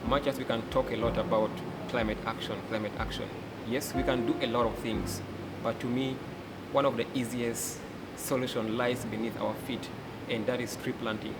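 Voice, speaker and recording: Earnest young Ugandan man, loud hum. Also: medium pitch, fairly even intonation. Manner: earnest, many "uh" pauses. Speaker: forest educator and delegate. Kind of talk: interview testimony.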